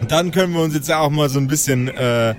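Higher-voiced man hosting a tabletop game. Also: slight background noise. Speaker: host and game master.

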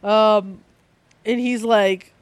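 A woman's voice: fairly even intonation, extremely slow, smooth, no echo. A congested woman co-hosting a casual comic book podcast, laughing often and swearing casually. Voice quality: low voice for a woman. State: stuffed up, congested. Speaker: podcast co-host.

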